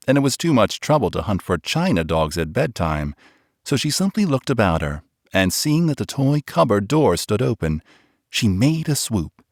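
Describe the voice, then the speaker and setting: A man narrating a children's storybook in a gentle, expressive way, slowing on the tender lines. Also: lowish voice, dry recording, studio quality. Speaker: audiobook narrator.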